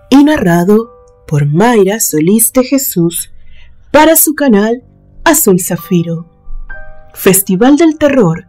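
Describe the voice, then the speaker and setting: A woman storyteller reads dramatic suspense fiction in a medium-pitched voice. Her delivery is deliberate, with pauses that build tension. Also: dark tone. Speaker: narrator, channel host. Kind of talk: dramatic suspense audiobook narration.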